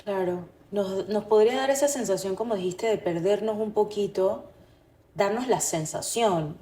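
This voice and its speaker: A woman with a mellow, warm timbre at a middling pitch speaks as a podcast co-host. Her talk is personal and reflective, feeling for the right words. The recording has a roomy echo. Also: normal speed. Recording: echoey room.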